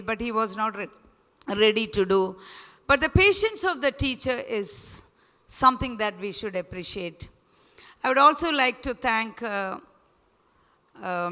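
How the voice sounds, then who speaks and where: Hoarse woman principal in her 50s, bright forceful voice, earnest speech, big echoey hall. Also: fairly high pitch. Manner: earnest, emphatic, addresses audience directly. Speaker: school principal, guest speaker. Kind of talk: speech at a school event. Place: large event hall. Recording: reverberant hall over speakers.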